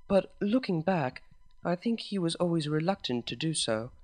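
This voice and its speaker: A man's voice: a little high, expressive, moderate pace, a little mellow, no room sound, close to an everyday voice. A man voicing an earnest, somewhat hesitant young mare pony in a storybook reading.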